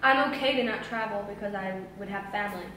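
A twenty-year-old woman chatting casually with her boyfriend, soft-spoken and fond of "like". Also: mid-range voice, quick pace, a little hiss or hum. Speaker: vlogger pulling a prank. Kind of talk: casual chat. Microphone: hidden camera across the room. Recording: very echoey room, voice far from the mic.

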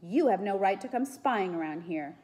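A woman voicing a menacing, mocking male soothsayer in a mask, with a hoarse voice and a short temper, from a storybook. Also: lowish voice, lively intonation, normal speed, dark tone, natural voice. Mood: tart, mocking.

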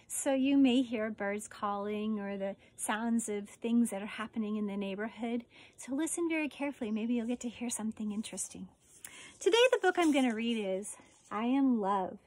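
High-pitched American woman reading to children. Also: a little mellow, no room sound. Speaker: elementary school teacher reading a story. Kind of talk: children's picture book read-aloud. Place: outdoors on a residential deck.

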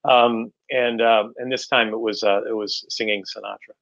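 A middle-aged man answering interview questions as an author, halting and repeating words as he explains, on a video call. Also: on the low side, steady tone, vocal fry, a bit bright. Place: indoors at home, room with bookshelves, on a video call. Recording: slightly dull video-call audio.

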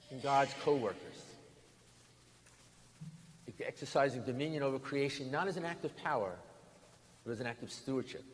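Older American preacher in an echoing church. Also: male voice, mid-range voice. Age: 60s or older. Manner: deliberate, emphatic phrasing with pauses and repetition. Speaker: bishop preaching. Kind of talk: sermon with storytelling. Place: large stone church interior, at lectern. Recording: reverberant church hall, background noise.

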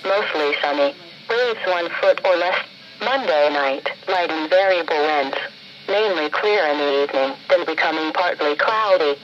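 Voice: mid-range voice, normal speed, fairly bright tone. Muffled synthetic radio announcer. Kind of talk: scripted marine forecast reading. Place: played through a handheld radio indoors. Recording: muffled, noisy weather radio broadcast.

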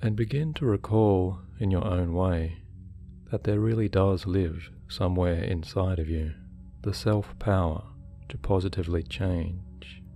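A man guiding a hypnosis meditation in a soothing, measured way with deliberate pauses. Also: sits low, fairly even intonation, warm tone. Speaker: hypnotherapy guide. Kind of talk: guided meditation, hypnosis narration. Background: relaxation music.

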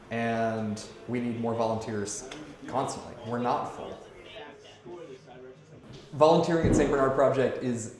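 A man's voice: medium pitch, darkish tone, slight background noise. Echoey-room man talking up volunteer work. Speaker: rebuilding charity organizer. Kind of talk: promotional interview talk. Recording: very echoey room with chatter behind.

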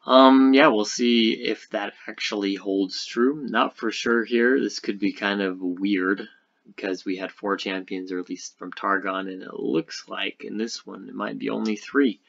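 A man giving casual, unscripted gaming commentary as a video host, speaking haltingly as he thinks aloud. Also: fairly low pitch, steady tone, gravelly, a bit bright, lo-fi sound.

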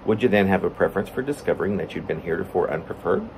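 A middle-aged man giving an informal reading of his own poem, halting and breaking into his own lines with asides. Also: deep voice, poor audio. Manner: halting, self-interrupting delivery. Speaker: poet reading own work. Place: plain room at home, indoors. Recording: steady room hum, air conditioning.

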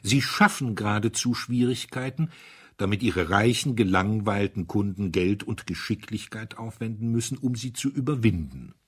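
Male audiobook narrator, low husky voice, measured and dryly ironic. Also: fairly bright tone. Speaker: audiobook reader. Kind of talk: scripted literary narration.

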